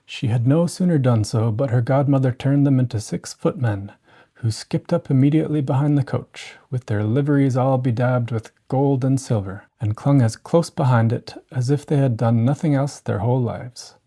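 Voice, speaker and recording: A storyteller giving a gentle, scripted bedtime narration of a classic fairy tale. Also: medium pitch, normal speed.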